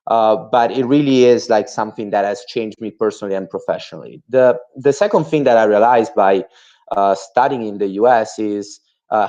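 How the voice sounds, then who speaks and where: A man's voice: lowish voice, darkish tone. A young man from Italy with an Italian accent, presenting a webinar talk with frequent "uh" fillers. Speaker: guest speaker. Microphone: video call. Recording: muffled online call audio.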